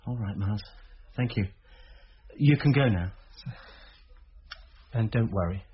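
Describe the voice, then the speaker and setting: An actor playing an earnest, kindly, preoccupied schoolmaster in a radio drama, British, with a hesitant, gently concerned way of talking. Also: male voice, fairly low pitch, unhurried, breathy edge, lo-fi sound. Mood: gently probing. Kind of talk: naturalistic radio drama acting.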